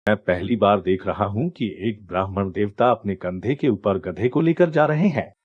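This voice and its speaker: A man's voice: fairly low pitch, moderate pace, breathy edge, no echo, muffled recording. A man voicing a sly, falsely respectful swindler in a children's moral story, persuasive and mock-earnest.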